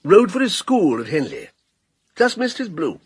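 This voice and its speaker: An actor playing a persuasive middle-aged banker in a radio drama, also narrating the story. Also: male voice, high-pitched, huge pitch swings, moderate pace, husky, no room sound, full character voice. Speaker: narrator and character.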